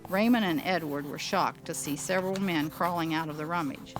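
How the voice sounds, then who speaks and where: A woman playing an older storyteller, narrating her memories of an airship wreck in a measured, deliberate way. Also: low voice, over music, lightly acted, poor audio. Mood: solemn recollection. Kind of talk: scripted first-person narration.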